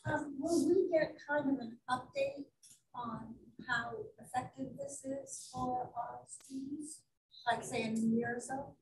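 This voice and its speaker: Board chair, female, brisk, far-off roomy mic. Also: high-pitched, slight background noise. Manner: brisk and procedural. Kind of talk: chairing a meeting. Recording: echoey room from a distance.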